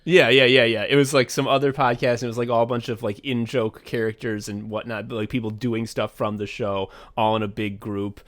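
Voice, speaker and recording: A young man co-hosts a podcast in a loose, rambling way, laughing often and swearing freely. His voice sits at an ordinary middle pitch. He sounds relaxed and unscripted as he talks. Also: fairly quick, few pauses. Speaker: podcast co-host. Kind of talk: casual podcast chat.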